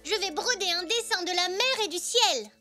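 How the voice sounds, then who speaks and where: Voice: very high-pitched, lively intonation, moderate pace, bright, forceful tone, exaggerated voice. An actor voicing a cheerful, curious young zebra child in a French children's cartoon, eager and exclamatory. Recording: music behind.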